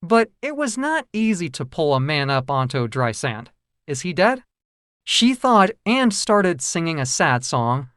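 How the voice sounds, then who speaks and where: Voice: medium pitch, sing-song, measured pace, hardly any pauses, a bit bright, clean tone, dry recording, clean studio sound. A storyteller narrating a children's fairy tale from a script.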